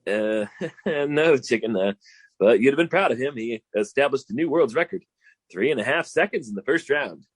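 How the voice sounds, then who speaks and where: A man's voice: medium pitch, animated, moderate pace, slightly rough, no echo. A man playing a slick, shady gambler boyfriend with swagger in a 1940s-style radio comedy. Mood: breezy.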